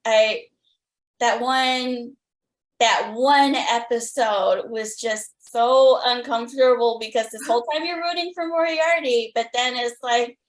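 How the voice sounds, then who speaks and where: A woman's voice: on the high side, steady tone, unhurried. A woman hosting a podcast, chatting casually and enthusiastically with her guests. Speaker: podcast host interviewing guests. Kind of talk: casual fan interview chat. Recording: roomy, echoing sound.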